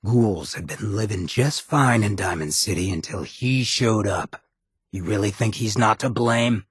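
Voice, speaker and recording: Casting: roguish ghoul game companion, heavily rasping low voice, streetwise, blunt, loyal, slangy drawl. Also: male voice, measured pace, no room sound, studio quality. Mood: grim, reflective. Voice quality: husky, gravelly rasp. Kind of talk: video game companion dialogue.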